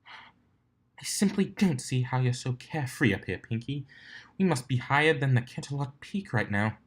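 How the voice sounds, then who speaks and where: A man's voice: mid-range voice, expressive, normal speed, slightly rough, pitched above the performer's own voice. A man voicing a refined, anxious female unicorn in a prim, fussy manner for a story reading.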